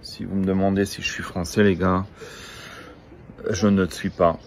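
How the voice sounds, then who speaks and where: A man from Luxembourg giving a slow, deliberate and disdainful talking-head monologue. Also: sits low, flat delivery. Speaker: social media influencer.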